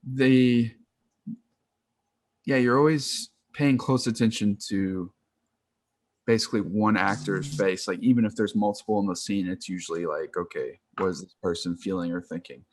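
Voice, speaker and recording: A man's voice: on the low side, steady tone, normal speed. A man in his twenties chatting casually and enthusiastically about films on a video call, rambling with lots of slang. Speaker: film podcast co-host. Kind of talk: casual film chat.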